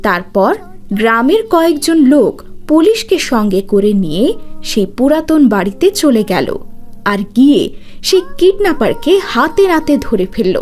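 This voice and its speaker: A woman's voice: high-pitched, darkish tone. A woman narrating a scripted cartoon story dramatically, phrase by phrase.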